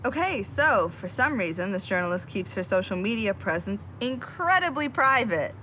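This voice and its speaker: A woman's voice: medium pitch, sing-song, moderate pace, pushed and bright. A woman playing a headstrong, exasperated young amateur sleuth in an audio drama, with slight noise on a muffled recording. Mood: exasperated, determined. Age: young adult. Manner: defiant, exasperated.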